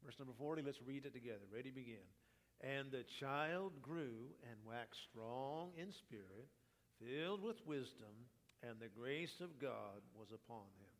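A male pastor delivering a teaching sermon in a mid-range voice, a little raspy today. He explains scripture step by step and invites the congregation to read along with him. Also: medium pitch. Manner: teaching, leads congregation in reading.

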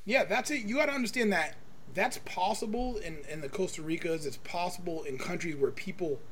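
High-pitched, emphatic, foul-mouthed male podcaster. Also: brisk, fairly bright tone, a little hiss or hum. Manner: emphatic, heavy swearing. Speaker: podcast host. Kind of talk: casual opinionated podcast talk.

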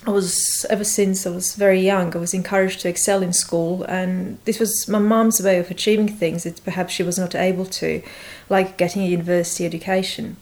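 A middle-aged woman from Bosnia, her first languages Serbian and Croatian, reading a prepared personal story in a measured way with occasional hesitations. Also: lowish voice, level tone, darkish tone. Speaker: senior lecturer.

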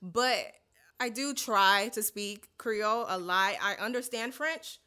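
A woman's voice: medium pitch, slow pace, sharp tone, clear, resonant tone. A young woman from Haiti co-hosting a casual podcast chat, earnest and often restarting her sentences. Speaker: podcast co-host.

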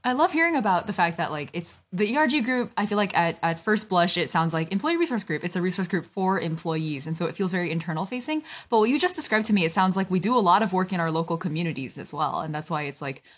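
A woman's voice: on the low side, lively intonation, quick pace, hardly any pauses. A woman hosting a company podcast, upbeat and effusive, asking open questions. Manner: upbeat, effusive, frequent "like" fillers. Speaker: podcast host. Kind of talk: interview podcast.

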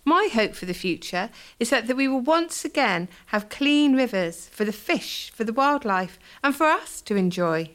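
A woman's voice: a little high, animated, normal speed, few pauses, a bit bright. A woman playing a hopeful, encouraging townswoman in a community radio play.

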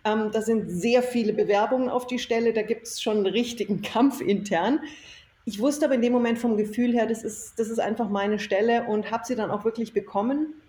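A woman with a fairly high yet mellow voice talks as an interview guest. She is enthusiastic and emphatic in her conversational storytelling. The room is noticeably echoey. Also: brisk. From Germany. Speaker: interview guest, self-employed former marketing executive. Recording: echoey room, faint noise.

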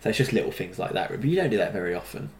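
A man co-hosting a casual podcast, talking in a measured, teasing way and repeating words as he thinks. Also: lowish voice, sing-song, vocal fry, reverberant, a little hiss or hum. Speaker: podcast co-host. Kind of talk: casual chat.

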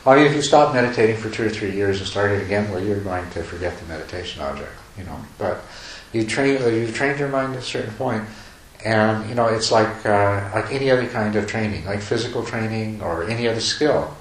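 A man giving a meditation talk to a retreat group, talking loosely with many restarts and soft chuckles. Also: fairly low pitch, fairly quick. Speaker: meditation teacher. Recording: echoey room, faint noise.

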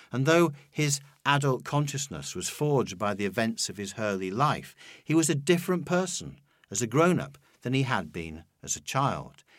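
A man reading a scripted literary essay in a measured, articulate, lecturing manner. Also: medium pitch, dry recording, studio quality. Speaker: essay reader and narrator. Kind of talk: scripted literary narration with poetry quotations.

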